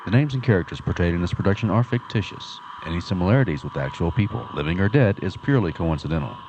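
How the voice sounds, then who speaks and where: A man's voice: deep voice, lively intonation, fast. A man announcing a scripted outro and disclaimer for an audio comedy show. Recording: dull, slightly noisy lo-fi audio.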